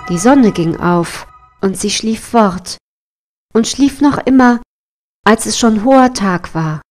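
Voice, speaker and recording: Woman, fairy-tale narrator, unhurried slow delivery, faint music behind. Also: fairly high pitch, expressive. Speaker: storyteller.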